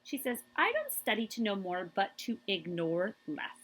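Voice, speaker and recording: Expressive woman reading aloud, lively swooping pitch. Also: normal speed. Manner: emphatic, drawing out key words for young listeners. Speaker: librarian reading aloud to children. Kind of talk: expressive picture-book read-aloud with brief asides.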